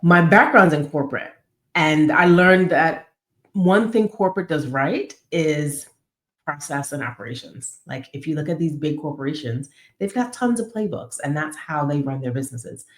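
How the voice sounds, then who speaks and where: A woman's voice: low voice, lively intonation, moderate pace. A woman with an American accent telling her story casually as a guest in a podcast interview. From United States. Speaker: podcast guest, business owner.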